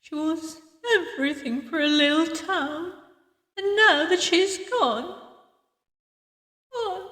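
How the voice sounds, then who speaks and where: A woman voicing a tender, grieving old woman in a visual novel, speaking slowly and haltingly with wistful sorrow. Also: high voice, lively intonation, a bit bright, pitched above the performer's own voice, exaggerated voice. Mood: tearful. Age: older. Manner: slow, halting, sorrowful delivery. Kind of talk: visual novel character voice acting. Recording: echoey hall reverb, distant sound.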